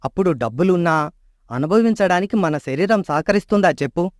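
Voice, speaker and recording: A man's voice: sits high, lively intonation, brisk, no echo, clean studio sound. An actor playing a greedy, scheming man in a storybook tale, coaxing and sneering. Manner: persuasive, sneering.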